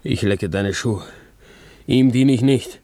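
An actor playing a servile, drunken monster servant in a 1960s German radio play, fawning and sullen. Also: male voice, medium pitch, takes it slowly, warm tone, dry recording.

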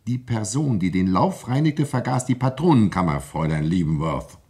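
An actor playing a stern, insistent coroner who questions a witness formally and deliberately in a vintage German radio crime drama. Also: male voice, lowish voice, expressive, a little mellow, breathy edge. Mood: accusing.

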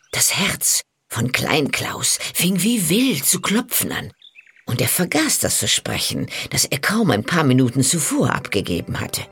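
A woman narrating a fairy tale from a script in an expressive storytelling manner. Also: a bass voice, husky.

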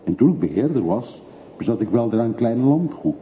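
A man's voice: medium pitch, big pitch swings, fairly quick, close to an everyday voice. An actor playing a brooding middle-aged man back from long exile in a radio play, terse, evasive and reflective. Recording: muffled phone-like old radio recording, faint hiss.

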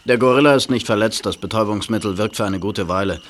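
A male actor playing a calm, businesslike animal doctor in an audio drama. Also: fairly low pitch, fast talker, close to an everyday voice. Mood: calm, reassuring. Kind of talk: German audio drama dialogue.

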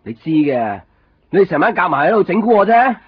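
A man's voice: sits high, very animated, fairly quick, husky, no room sound, full character voice. An actor playing a lovesick, obsessive young man in a Cantonese radio drama with a Hong Kong accent, plaintive and insistent. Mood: agitated, accusing. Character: lovesick young copy-shop man obsessively searching for missing girlfriend. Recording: muffled 1990s radio drama recording. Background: music.

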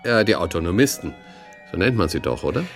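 An actor playing a polite, eager radio interviewer from Westphalia in a radio play, courteous and conversational. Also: male voice, sits low, sing-song, fast, faint music behind. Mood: courteous, probing.

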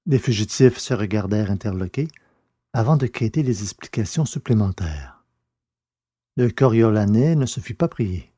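A man's voice: lowish voice, animated, moderate pace, warm tone, husky, dry recording, clean studio sound. A man reading scripted narration for a science-fiction audio drama in French.

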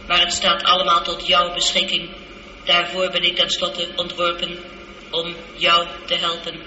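Even-toned, bright female spaceship computer. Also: lowish voice. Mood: calm, factual. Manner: measured, precise, unemotional. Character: calm, logical, seductive female spaceship computer. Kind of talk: radio drama acting. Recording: muffled, echoey, noisy old radio recording.

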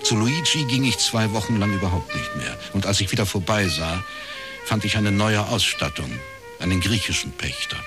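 An actor playing an educated, skeptical private detective in a radio crime drama, terse, dry and matter-of-fact. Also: male voice, on the low side, huge pitch swings, normal speed, over music. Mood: sober, resigned. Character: skeptical, uneasy male private detective, educated, narrating his case. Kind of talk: radio crime drama, dialogue and first-person narration.

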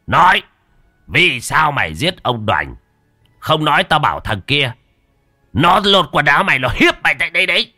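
A man's voice: medium pitch, pushed and bright, dry recording, clean studio sound, voice pushed up, heavily acted. A guy doing a cranky old exorcist, crude and mocking, voice all over the place. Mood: menacing. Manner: coarse, teasing, commanding.

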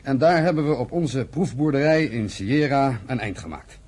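An actor playing a militant, impatient pioneer settler in a Dutch radio play, arguing forcefully with his brother. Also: mid-range voice, animated, normal speed, natural voice. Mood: persuasive. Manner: forceful, argumentative, scornful.

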